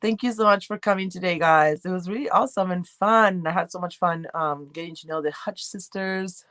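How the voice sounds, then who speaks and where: A chatty woman with a light Argentine accent hosts a relaxed livestream, rambling from one thought to the next as she reads and answers comments. Her voice sits a little low for a woman, and the sound is dry and close with no room echo. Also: fairly quick. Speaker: livestream host. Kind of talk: casual livestream chat with viewers.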